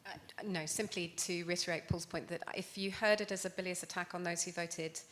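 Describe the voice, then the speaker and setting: A woman on a conference panel answering calmly and reassuringly, with some hesitant starts. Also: fairly low pitch, a little fast.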